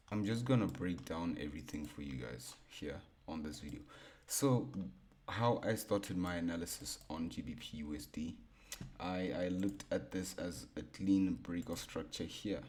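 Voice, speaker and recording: Chill young guy, real low baritone, walking thru trades at his computer. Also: darkish tone. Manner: laid-back, repeats himself, thinks aloud. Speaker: forex trading educator. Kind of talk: casual screen-share chart breakdown.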